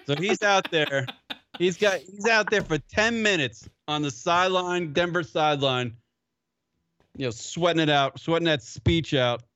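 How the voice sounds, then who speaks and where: A man's voice: fairly high pitch, measured pace, bright, forceful tone, dry recording, muffled recording. A man co-hosting a radio show, bantering crudely and mocking a sideline reporter with put-on impressions. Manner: profane, mocking, acts out impressions.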